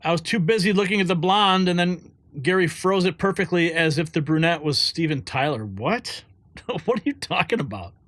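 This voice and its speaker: A man's voice: fairly high pitch, lively intonation, moderate pace, fairly bright tone, dry recording. A man hosting a live news show, chatting casually, reading viewer comments aloud and laughing often.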